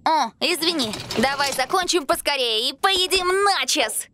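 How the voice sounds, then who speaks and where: A woman's voice: sits high, expressive, moderate pace, heavy background noise. A woman voicing a carefree, overconfident, impulsive young cartoon boy who exclaims a lot. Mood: breezy. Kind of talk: dubbed cartoon voice acting.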